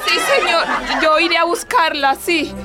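A woman's voice: very high voice, steady tone, moderate pace. A woman playing an eager, obedient household maid in an audio drama, deferential in manner. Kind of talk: Spanish-language audio drama acting. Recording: loud music and crowd behind voice.

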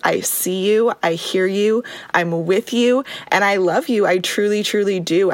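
A young woman hosts a self-help podcast in a bright, encouraging, chatty way. Her voice is a little raspy today from a sore throat. The recording is close and dry, with no room sound. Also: medium pitch, no echo. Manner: warm, encouraging, chatty with laughs. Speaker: podcast host. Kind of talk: upbeat motivational podcast talk.

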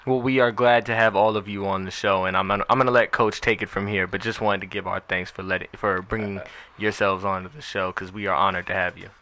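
Low, bright-voiced man co-hosting. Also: steady tone, quick pace. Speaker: co-host. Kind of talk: courteous show introductions.

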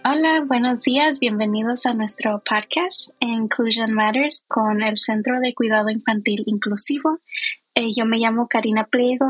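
A woman's voice: on the high side, slightly rough, no echo. A woman hosting a podcast interview as a coach, speaking in a friendly way with frequent fillers.

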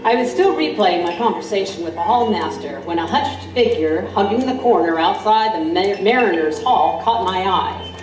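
A woman's voice: medium pitch, expressive, normal speed, recorded from a distance, natural voice. A woman playing a wry reporter who narrates her own period investigation in scripted first person. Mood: wry, storytelling. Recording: echoey, off-mic, music behind.

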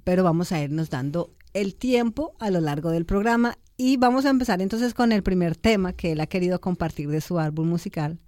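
A woman's voice: fairly low pitch, a little fast, few pauses, mellow, no room sound, studio quality. A woman hosting an interview programme, talking in a chatty, informal way and using diminutives as she puts questions to her guest. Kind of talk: conversational radio-style interviewing.

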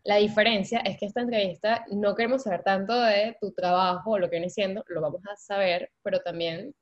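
A woman from Venezuela hosting a casual interview, asking questions and telling stories in an animated, friendly way. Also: medium pitch, brisk, highs cut off. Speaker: interview host.

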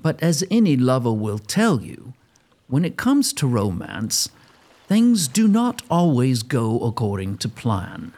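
An actor playing a wry storyteller, narrating a gothic comedy audio drama. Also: male voice, mid-range voice, wildly swooping pitch, takes it slowly, a little mellow, exaggerated voice.

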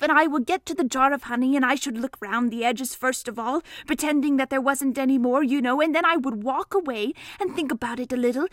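A woman voicing a gentle, naive male bear from a children's storybook, thinking slowly aloud with hesitant, drawn-out words. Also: sits high, dry recording, clean studio sound.

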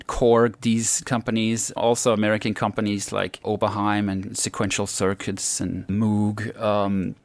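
A man, a composer, giving relaxed, detailed answers in an interview, with a deep voice. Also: clean tone, no room sound.

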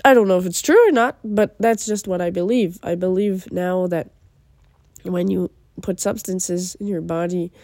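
Young woman w/ a stuffy cold, mellow voice, kinda halting and earnest, dry sound. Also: fairly low pitch, no echo. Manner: earnest, halting, with fillers and restarts. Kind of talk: personal reflection and poetry reading.

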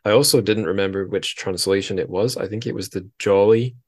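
Male podcast host, low register, chatty and self-deprecating, loosely rambling delivery. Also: fairly even intonation, fairly quick. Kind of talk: casual conversational interview.

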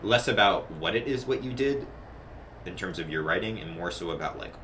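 A young man co-hosting a podcast in casual chat, speaking in a low, gravelly, monotone way, measured and a little hesitant. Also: noisy background. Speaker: podcast co-host.

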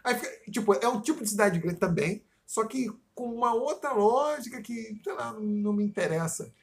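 A man's voice: high-pitched, animated, a little fast. A man in casual podcast chat, rambling, swearing freely, trailing off and restarting. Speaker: podcast co-host.

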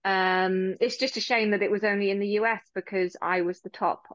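A woman who works as a ring announcer, chatting in an interview, bubbly and quick to laugh, with a loud voice. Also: mid-range voice, no room sound, lo-fi sound. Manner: bubbly, laughs often, animated retelling. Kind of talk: casual interview chat.

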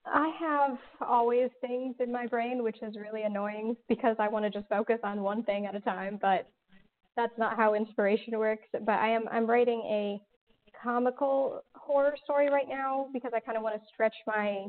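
A woman's voice: on the high side, fairly even intonation, normal speed. Phone-sounding woman chatting as a podcast guest. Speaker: podcast guest, audio drama writer. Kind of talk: casual interview chat. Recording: thin phone-like call audio.

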